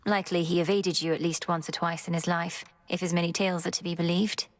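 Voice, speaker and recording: A woman voicing a determined young witch princess in a video game, speaking in formal, measured, old-fashioned phrasing. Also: on the low side, flat delivery, lightly acted. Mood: wary, formal.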